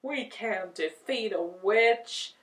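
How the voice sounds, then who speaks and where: A woman's voice: high-pitched, lively intonation, slow pace, pushed and bright, far from the mic, pitched above the performer's own voice, exaggerated voice. A woman voicing a humble male scarecrow stuffed with straw, who speaks in a moaning way. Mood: glum. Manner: moaning, mournful. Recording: echoey room, voice off-mic.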